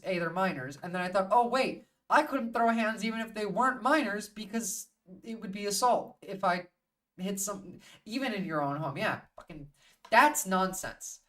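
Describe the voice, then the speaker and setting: A woman's voice: mid-range voice, fairly bright tone, recorded from a distance. A woman hosting a livestream in casual, opinionated chat, swearing freely and bluntly as she thinks aloud. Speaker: livestream host.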